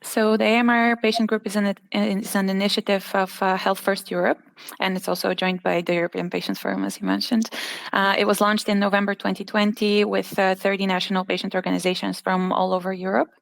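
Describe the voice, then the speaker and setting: A woman's voice: medium pitch, level tone, brisk, vocal fry, dry recording. A woman from Bulgaria, a patient advocate, speaking in an online interview with frequent fillers and restarts. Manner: hesitant, many fillers and restarts.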